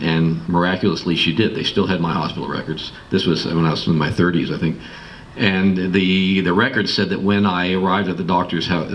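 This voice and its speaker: A middle-aged man from Massachusetts with an American accent, telling a personal story to listeners in an informal, halting, reflective way. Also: deep voice. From Massachusetts, United States. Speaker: guest speaker telling his life story. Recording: distant mic, clearly noisy room recording.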